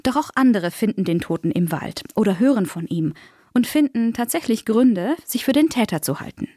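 A woman's voice: a little high, animated, moderate pace, mellow, no echo, clean studio sound. A woman reading a scripted story summary as a narrator.

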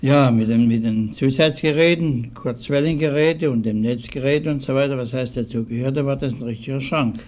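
An older man giving recollections in an interview, speaking haltingly and deliberately with old-fashioned formal phrasing. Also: mid-range voice, darkish tone. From southwestern Germany. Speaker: interviewee, early radio hobbyist. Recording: 1950s archival radio recording.

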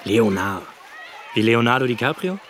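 Swaggering young jock, mid-pitched, very animated intonation, breezy, condescending, radio drama. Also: male voice, normal speed, a little hiss or hum. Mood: amused, sizing up. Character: cocky, condescendingly friendly young male poolside jock. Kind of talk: casual radio drama dialogue.

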